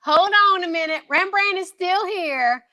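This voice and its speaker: A woman's voice: very high voice, measured pace, over a noisy crowd. A woman co-performing in an old-time radio show, bantering in a dramatic, grumbling, theatrical way. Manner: dramatic, grumbling, speaks of self in third person.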